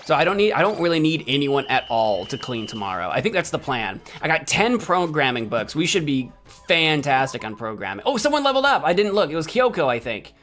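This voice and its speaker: A man's voice: a little high, very animated, a little fast, hardly any pauses, fairly bright tone, soft background music. An American man casually thinking aloud while playing a game on stream, rambling, correcting himself and bantering with chat in a mock-indignant way. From United States. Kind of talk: casual gameplay commentary.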